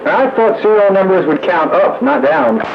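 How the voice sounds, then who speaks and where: A man's voice: sits high, expressive, moderate pace, creaky. A man chatting casually over CB radio in folksy operator lingo, running a check-in net. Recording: muffled, noisy CB radio audio.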